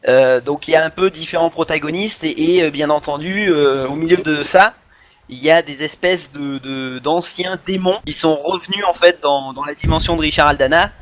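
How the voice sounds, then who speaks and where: A man's voice: a little high, moderate pace. A man talks in a casual podcast discussion. He explains at length and often circles back over his own phrases. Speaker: podcast panelist.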